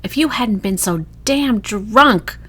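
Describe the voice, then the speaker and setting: A woman's voice: mid-range voice, expressive, slow, bright, forceful tone, husky, pitched above the performer's own voice, heavily acted. A woman playing a grieving, guilt-ridden drunken woman who pleads in a strained, emotional voice in a horror story. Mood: desperate, defensive.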